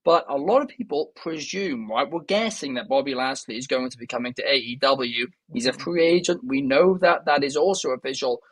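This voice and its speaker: A man's voice: mid-range voice, a little fast, few pauses, dry recording, rough recording. A young Welsh man hosting a casual wrestling news podcast, chatty and enthusiastic, turning to the viewers often. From Wales. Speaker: podcast host.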